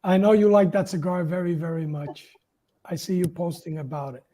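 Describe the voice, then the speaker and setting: A man hosts a relaxed, conversational interview on a video call. His voice sits quite high for a man, with a dark, mellow tone. He chats casually and puts questions to his guest. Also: fairly quick. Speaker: interview host.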